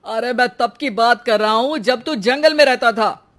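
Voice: medium pitch, normal speed, a bit bright, close to an everyday voice. A performer voicing a principled, exasperated elderly father in a cartoon, speaking in a chiding, moralizing manner. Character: principled, exasperated elderly father, grey-haired family patriarch. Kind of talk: animated cartoon dialogue.

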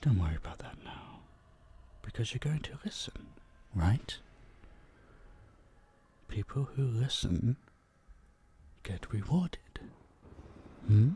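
A man playing an obsessive, possessive vampire captor in an intimate audio drama, hushed, teasing and dominant, menacingly sweet, dipping into whispers. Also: lowish voice, very animated, takes it slowly, pauses often, husky, heavily acted. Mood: coaxing, controlling. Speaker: voice actor. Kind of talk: intimate roleplay audio drama, addressing the listener.

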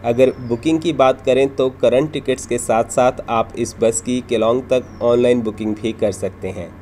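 A man's voice: medium pitch, fairly even intonation, normal speed, a little mellow, a little hiss or hum. A man narrating a travel vlog from a script, explaining things in an informative, orderly way. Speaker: travel vlogger and host.

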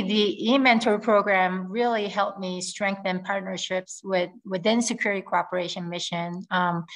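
A woman's voice: medium pitch, a bit bright, rough recording. A middle-aged woman, a native Korean speaker, speaking earnestly on a video call from home, with frequent ums and restarts. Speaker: guest air force officer. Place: home room with ceiling fan. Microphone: laptop webcam on video call.

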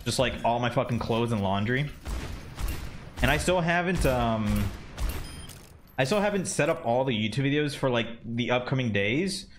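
A man's voice: mid-range voice, expressive, normal speed, pauses often, slight background noise. A man in his twenties chatting casually while streaming a game, rambling and swearing with lots of fillers. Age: 20s. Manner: rambling, profane, many fillers. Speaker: gaming streamer. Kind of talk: casual chat while gaming. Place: home desk room.

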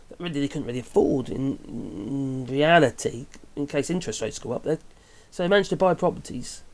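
A man's voice: a little high, darkish tone. English guy in his 50s, chatty opinionated vlog rant, wanders off and corrects himself. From England. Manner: rambling, chatty, with tag questions and self-corrections. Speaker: vlogger commenting on news. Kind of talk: casual opinionated commentary. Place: small wooden cabin room with open window.

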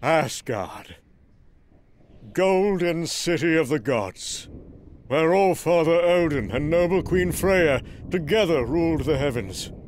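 A man's voice: on the high side, expressive, slow pace, a little mellow, a little hiss or hum. A man voicing a proud Norse thunder god from a comic book in a grand, theatrical, archaic manner. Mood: boastful, jovial. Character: boastful, mighty Norse thunder god, proud warrior storyteller. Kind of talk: dramatic comic book reading with narration.

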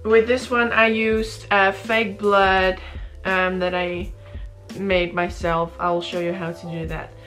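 Young woman, bright tone, sleepy raspy voice, rambling casual vlog chat, faint background music. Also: mid-range voice, flat delivery, slow pace. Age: young adult. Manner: chatty, rambling, many fillers and restarts. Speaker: beauty vlogger. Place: small bedroom indoors. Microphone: camera in front at close range.

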